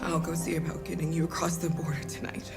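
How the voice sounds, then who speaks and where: A woman's voice: deep voice, level tone, quick pace, in a whisper, over loud music. A woman playing a firm but kind-hearted middle-aged mother in a cinematic game, emphatic and principled. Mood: reassuring. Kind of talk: cinematic video game acting. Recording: dramatic score under the voice.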